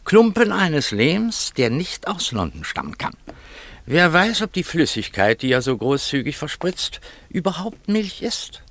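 Theatrical amateur detective, swooping voice. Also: male voice, on the high side, huge pitch swings, a little slow, fairly bright tone, dry recording, exaggerated voice. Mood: suspicious, insistent. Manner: emphatic, insistent, dramatic. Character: eager, suspicious amateur male detective, stubborn and theatrical. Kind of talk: German radio play acting.

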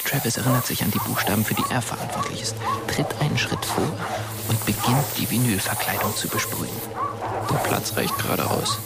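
An actor narrating a dark radio drama in a hushed, often half-whispered voice. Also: male voice, mid-range voice, brisk, natural voice. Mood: hushed, tense. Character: sombre male narrator of a dark Halloween tale, dead teenager. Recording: loud music behind. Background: loud music.

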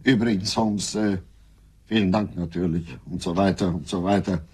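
An actor playing a good-natured, easily flustered English gentleman in a German radio drama, with hesitant, muttered interjections. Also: low-pitched, steady tone, moderate pace, slightly rough. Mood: flustered, indignant.

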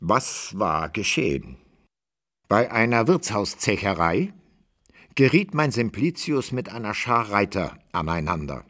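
A man narrating a literary radio play as a deliberate, rhetorical storyteller. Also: lowish voice, fairly bright tone.

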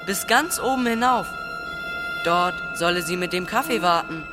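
A woman's voice: fairly low pitch, normal speed, lightly acted. Woman doing a sly 13 yr old boy in a radio play, cold and pushy, music under it. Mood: sly, mock-polite. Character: callous, cunning thirteen-year-old boy, coolly lying. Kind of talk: German radio play acting.

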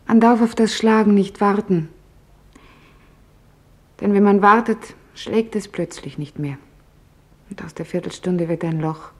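An actress playing an office worker recalling wartime nights, in deliberate, hushed storytelling with dramatic pauses. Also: female voice, mid-range voice, natural voice. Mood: tense, haunted. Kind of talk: dramatised testimony in a radio feature.

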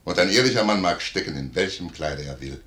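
An actor playing a jovial, fatherly older count who declaims warmly in a 1950s German radio play. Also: low voice, lively intonation, brisk. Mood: hearty. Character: hearty, fatherly older count, warm-hearted uncle. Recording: roomy 1950s radio studio recording.

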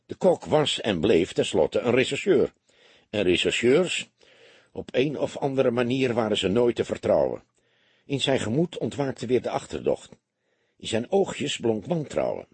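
Very husky male audiobook narrator. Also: medium pitch, sing-song, a little slow, no room sound. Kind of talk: scripted literary narration.

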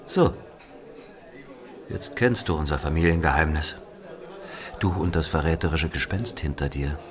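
A man playing an aging Algerian who confesses an old killing in a brooding, deliberate literary monologue. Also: rumbling low. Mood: insistent, defiant. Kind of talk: literary monologue, dramatic audio narration.

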